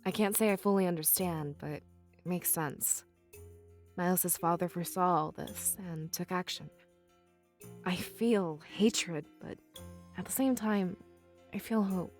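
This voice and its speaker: A woman acts as a candid adventuress in a fantasy visual novel. Her voice is a touch low, her delivery direct and inquisitive with a dry wit. Soft music runs behind the lines. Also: measured pace, pauses often, close to an everyday voice. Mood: troubled, thoughtful.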